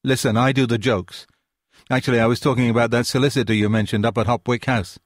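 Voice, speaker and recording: A man voicing a blunt, opinionated bearded pub landlord who is quick to rant, in an audiobook reading. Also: mid-range voice, steady tone, dry recording, studio quality, natural voice. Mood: teasing. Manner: gruff, quick-tempered ranting.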